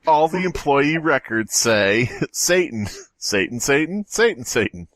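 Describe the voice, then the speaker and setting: A man's voice: mid-range voice, lively intonation, unhurried, a bit bright, no echo, studio quality. A man co-hosting a comedy podcast, riffing playfully and acting out little bits in casual banter. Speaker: podcast host.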